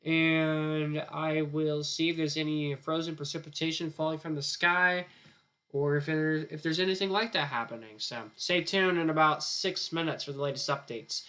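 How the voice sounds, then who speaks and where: Hoarse-throated weatherman, bright voice. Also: on the high side, steady tone, moderate pace. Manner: loose, rambling, repeats "again" often. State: throat sore, starting to lose his voice. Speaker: chief forecaster. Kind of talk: live weather stream commentary. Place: weather center studio.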